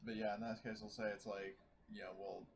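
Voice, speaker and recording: A man playing a tabletop roleplaying game, chatting casually in a halting, hesitant way. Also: on the low side, level tone, over a noisy crowd. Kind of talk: casual in-character and out-of-character game chat. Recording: clearly noisy mic with clicks.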